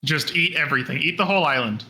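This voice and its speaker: A man's voice: a little high, fast, pushed and bright, husky. A man chatting casually as a player in a tabletop roleplaying game.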